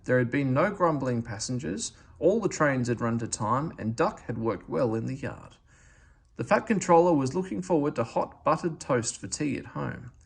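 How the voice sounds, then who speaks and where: A man reading a children's story aloud as narrator, with expressive delivery. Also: medium pitch, moderate pace, creaky, slightly rough. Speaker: storybook reader.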